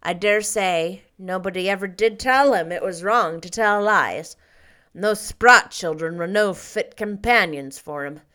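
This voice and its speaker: A stern, practical older woman from a storybook, measured and a little grim as she talks over a troublesome child. Her voice is bright yet plain, a woman reading the role. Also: medium pitch. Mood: weary.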